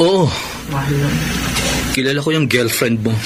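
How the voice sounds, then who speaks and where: A man's voice: medium pitch, animated, unhurried, very noisy, natural voice. An actor playing a Filipino man, a casual, familiar cousin and former fiancé, in a radio drama. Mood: hesitant, confessing. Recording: loud music behind voice.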